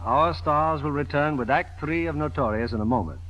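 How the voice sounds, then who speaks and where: A man's voice: fairly high pitch, moderate pace, over loud music. A man with an American accent hosting in a scripted 1940s radio presenting style. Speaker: radio host. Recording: dull vintage radio recording.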